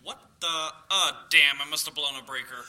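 A man's voice: fairly high pitch, a little fast, heavy background noise, lightly acted. A man playing a confident, oblivious dad working at home in a live audio play, self-assured and theatrical. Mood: startled, scolding.

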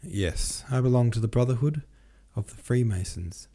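Man reading as a grave, deliberate old Freemason, mellow-voiced. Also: medium pitch, animated, natural voice. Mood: solemn. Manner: deliberate, formal. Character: stern, deliberate old male Freemason stranger, paternal.

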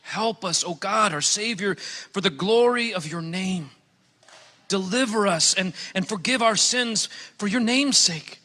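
A man's voice: high voice, unhurried, pushed and bright. A man reading scripture aloud in a solemn, formal style. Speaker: scripture reader. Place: large hall, church service. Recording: echoey hall with long reverb.

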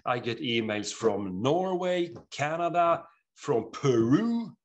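A middle-aged man with a Swedish accent speaks in an interview, earnest and animated. He often restarts his sentences as he tells stories. His voice sits at an ordinary middle pitch for a man. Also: slow pace. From Sweden. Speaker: author guest. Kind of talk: interview conversation.

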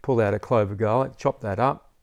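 Male herbalist, low gravelly voice, dry close mic, rambling casual talker. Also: a little fast, no room sound. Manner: rambling, repeats words, says "you know" often. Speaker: herbalist teacher. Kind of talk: casual interview chat.

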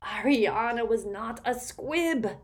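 A woman voicing a stiff, loyal elderly gentleman in a storybook, wheezy and breathy, speaking with wounded earnestness. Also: on the high side, lively intonation, takes it slowly, pitched above the performer's own voice. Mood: desperate, indignant.

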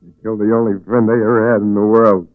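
A man's voice: fairly low pitch, level tone, quick pace, no room sound, lightly acted. An actor playing a crazed, vengeful man who spins webs like a spider, brooding and melodramatic in 1940s radio style. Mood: grieving, bitter. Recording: narrow, tinny 1940s radio recording.

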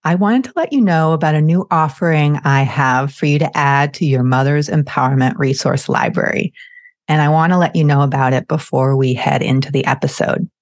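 Low-voiced earnest woman podcast host. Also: a little fast. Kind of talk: podcast host intro and interview.

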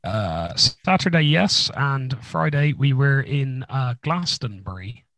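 Guy, husky n gravelly, rambling dry jokes on a podcast, dead dry sound. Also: mid-range voice, sing-song, slow, a bit bright, no echo. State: husky after illness. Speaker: podcast co-host. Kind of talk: casual chat.

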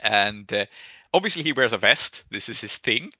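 A man running a tabletop game as game master, improvising narration in casual, halting speech as he thinks aloud. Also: on the low side, animated, pushed and bright. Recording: echoey room, muffled dull audio.

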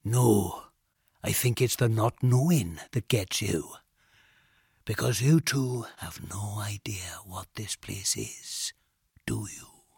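A man's voice: lowish voice, takes it slowly, vocal fry, darkish tone, breathy edge, no echo, studio quality, heavily acted. A man voicing a menacing British hard lad in an audiobook, taunting and lingering, often dropping to a sinister whisper. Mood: hushed, menacing. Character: menacing, taunting male hard lad leading frightened boys.

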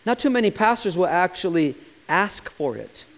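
A pastor in his forties preaching with passion, his voice sitting a little higher than most men's. He swings from raised, urgent lines to soft, hushed ones. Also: very animated, moderate pace. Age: 40s. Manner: impassioned preaching, sometimes dropping to a quiet, hushed delivery. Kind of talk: sermon.

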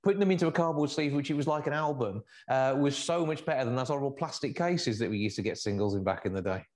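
Male radio host, mid-pitched, upbeat, promotional patter. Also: fast talker, darkish tone. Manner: upbeat, plugs events and phone numbers.

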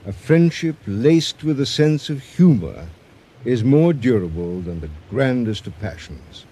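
An actor playing a kindly, gently awkward male teacher in a radio drama, earnest and hesitant. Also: mid-range voice, big pitch swings, measured pace. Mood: earnest, coaxing. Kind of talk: 1980s radio drama acting. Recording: noisy vintage radio drama recording.

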